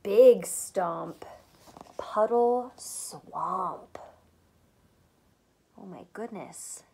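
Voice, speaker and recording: Young woman, teacher, unhurried pace, medium pitch, expressive picture-book read-aloud, simple child-directed phrasing, recorded at home. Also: creaky, a little hiss or hum. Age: young adult. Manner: asks simple questions, addressing young children; deliberate, expressive reading. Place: small furnished room at home, indoors.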